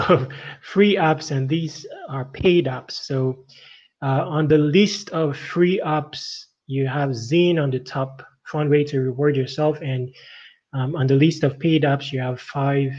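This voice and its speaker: Middle-aged male livestream host, medium pitch, casual rambling commentary, halting phrasing, muffled lo-fi mic. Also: a little mellow. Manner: halting, rambling, frequent verbal tags. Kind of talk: casual tech news commentary to viewers. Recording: dull, lo-fi microphone sound.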